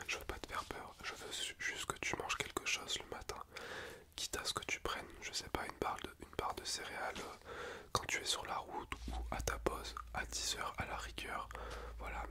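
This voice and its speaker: A man whispering softly as a school nurse in an ASMR roleplay, gentle and reassuring, chatting at length in a relaxed, rambling way. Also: normal speed. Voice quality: soft unvoiced whisper.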